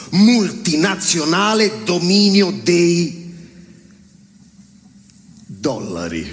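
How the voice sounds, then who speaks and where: Slow, emphatic man declaiming in a hall. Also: on the high side, fairly bright tone. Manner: emphatic, broken into short weighty phrases. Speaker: theatre performer of a monologue. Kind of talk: theatrical declamation. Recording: reverberant hall with faint music.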